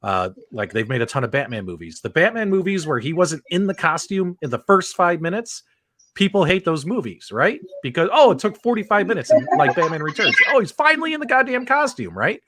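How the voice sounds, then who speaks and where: A man's voice: on the high side, sing-song, a little fast, pushed and bright, a little hiss or hum. A middle-aged man hosting a casual live panel chat, talking emphatically and often checking in with tag questions. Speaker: live stream host.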